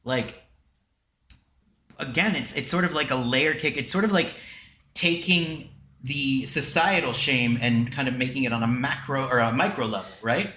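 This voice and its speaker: Bright-voiced man chatting in an echoey room. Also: mid-range voice, a little hiss or hum. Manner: rambling, halting and repeating himself. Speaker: podcast host. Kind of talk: casual podcast chat.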